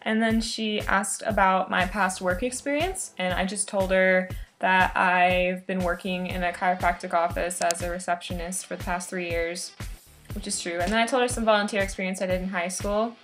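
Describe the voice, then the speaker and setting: A woman's voice: lowish voice, fairly even intonation, normal speed, fairly bright tone, soft background music. A woman around twenty chatting casually to camera as a student vlogger, in an informal, rambling way, often interrupting herself. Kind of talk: casual chat to camera recounting an experience.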